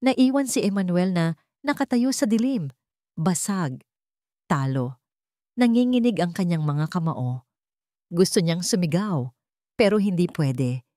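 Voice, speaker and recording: A woman's voice: fairly low pitch, lively intonation, measured pace, long pauses, mellow, no echo, studio quality. A woman narrating a scripted dramatic story as a storyteller.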